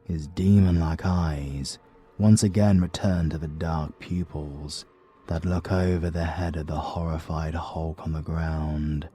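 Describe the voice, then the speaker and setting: A man with a British accent narrating an audiobook in slow, deliberate storytelling. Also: a bass voice, flat delivery, warm tone, husky, soft background music. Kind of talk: scripted narration.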